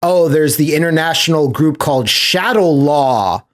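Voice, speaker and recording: Man chatting casually with a slightly high voice. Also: lively intonation, normal speed. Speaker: podcast host. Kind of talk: casual podcast chat.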